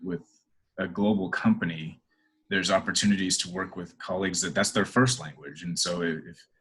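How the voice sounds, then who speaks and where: A man's voice: low voice, normal speed, fairly bright tone, slightly rough. A middle-aged man answering interview questions in a hesitant, casual way, full of fillers and restarts. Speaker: interview guest, corporate professional.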